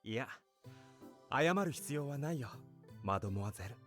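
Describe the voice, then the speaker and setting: A male actor as a gentle, mysterious gentleman in a visual novel. He speaks courteously and quickly, with lively swoops in pitch. Soft music plays underneath. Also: lightly acted. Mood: gentle, wistful. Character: gentle, courteous young man, mysterious amusement park director. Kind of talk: Japanese visual novel voice acting.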